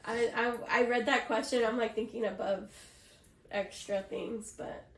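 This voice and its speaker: A woman's voice: a little high, fairly even intonation, measured pace, far from the mic. A woman co-hosting a podcast in casual chat, playful and often echoing her partner's words. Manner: agreeable, echoes her co-host, playful. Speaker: podcast co-host. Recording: echoey room, away from the mic.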